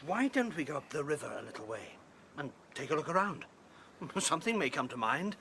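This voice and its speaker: A man's voice: a little high, a little fast, a little hiss or hum, exaggerated voice. A man playing a clever, inquisitive rabbit in a radio drama, questioning and a little hesitant. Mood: thoughtful. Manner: animated, questioning, slightly hesitant.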